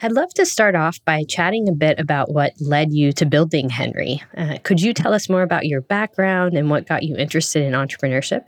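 Female podcast host, low register, scripted intros and interview questions. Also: animated, fairly quick, hardly any pauses.

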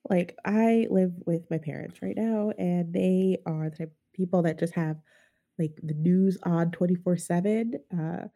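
Woman, podcast host, congested, nasal, low, gravelly, casual chat. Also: measured pace, dark tone. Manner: chatty, self-mocking, laughs often. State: congested from a sinus infection.

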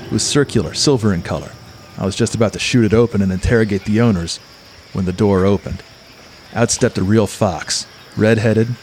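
A man's voice: on the low side, darkish tone, a little hiss or hum, natural voice. A man playing a hard-boiled, macho mercenary who narrates in tough-guy slang like a pulp radio play. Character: hard-boiled, swaggering male mercenary turned cosmic-love believer.

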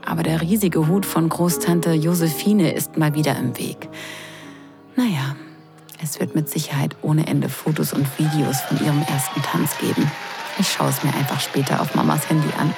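A woman's voice: low voice, fairly even intonation, normal speed, vocal fry, music in the background. A woman acting a sarcastic, flustered young sister of the groom in an intimate, confiding audio drama. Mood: exasperated, confiding. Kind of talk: intimate first-person audio drama narration and dialogue.

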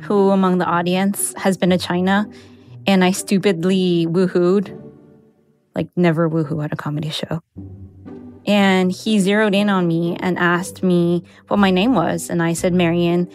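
A young adult woman, raised speaking Mandarin first, telling a personal story in a candid, reflective way with soft laughs. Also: lowish voice. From United States. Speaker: interviewee, newsletter writer.

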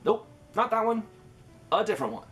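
A man's voice: sits high, big pitch swings, a little fast, fairly bright tone, faint music behind. A man with a Canadian accent, speaking as the host of a podcast. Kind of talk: scripted intro and podcast interview.

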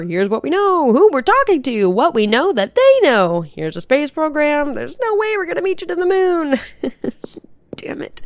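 Audio drama role: anxious woman spy, self-talking, fry-laden, very animated pitch. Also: no room sound, full character voice. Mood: forced bravado, then deflated. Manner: muttering to herself, swinging between whispers and bravado. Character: anxious undercover female agent talking herself into a lie.

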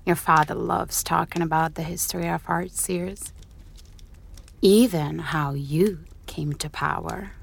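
Bold slave woman, low and creaky. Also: fairly even intonation, a little slow, full character voice. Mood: intimate, persuasive. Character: bold, knowing enslaved woman, lover of a ruler. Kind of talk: fantasy audio drama acting.

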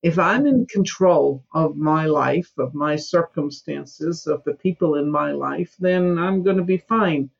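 A woman's voice: deep voice, unhurried, creaky, few pauses, dull audio. A woman in her seventies from Scotland, a guest in a podcast interview, speaking emphatically and sometimes mimicking whiny excuses. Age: 70s.

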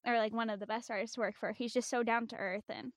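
Young woman, high squeaky voice, giggly and self-mocking podcast guest, dry close mic. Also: fairly even intonation, fast talker, dry recording. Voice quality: high-pitched young-sounding voice. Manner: chatty, giggly, self-deprecating. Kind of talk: casual podcast chat.

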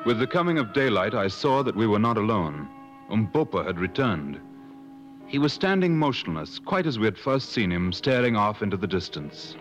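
An actor playing a grave adventurer who narrates a perilous expedition in measured, literary 1950s radio style. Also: male voice, on the low side, big pitch swings. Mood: solemn, reflective. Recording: muffled old radio broadcast recording. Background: dramatic music.

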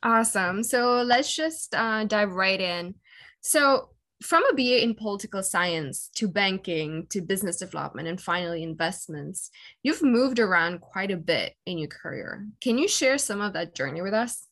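A woman's voice: medium pitch, expressive, moderate pace, a bit bright. A young woman, a student hosting a podcast, who reads out a prepared introduction and questions. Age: young adult. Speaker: podcast host. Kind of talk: podcast interview.

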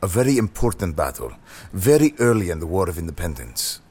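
A man voicing a soft-spoken older man recounting a painful family history. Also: sits low, sing-song, brisk, slightly rough, heavily acted. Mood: somber.

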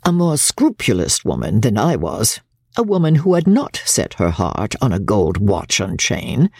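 A bitter, proud older woman from a Victorian novel, performed by a female narrator, confesses with haughty indignation. Her voice is gravelly and theatrical, rising and falling widely over precise diction. The sound is close and dry, as in an audiobook. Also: rumbling low, a little mellow, dry recording, full character voice. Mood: self-justifying. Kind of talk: dramatic audiobook reading of classic literature.

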